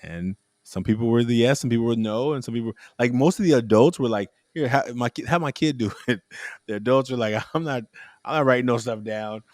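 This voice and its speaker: A man's voice: medium pitch, brisk, no room sound. Dry-sounding man telling a rambling story. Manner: rambling, restarts often, animated storytelling. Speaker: podcast host. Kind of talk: casual conversational interview.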